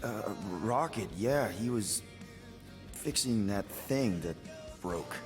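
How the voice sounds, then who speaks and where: Easygoing, animated space-adventure leader. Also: male voice, fairly low pitch, takes it slowly, music in the background. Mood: hesitant.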